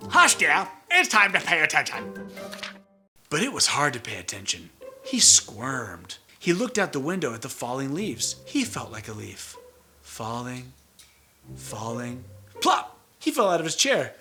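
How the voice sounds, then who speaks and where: A man narrates a picture book for children in a bright, very lively voice. He co-hosts with joking asides, and music plays behind him. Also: medium pitch, unhurried. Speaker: co-host and storybook narrator. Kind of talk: animated children's read-aloud with joking asides.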